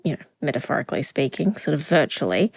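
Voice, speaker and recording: A middle-aged woman co-hosting a podcast, telling stories in a relaxed, casual chat. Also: low voice, gravelly, husky, no room sound. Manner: unhurried, storytelling. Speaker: podcast co-host. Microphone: podcast microphone.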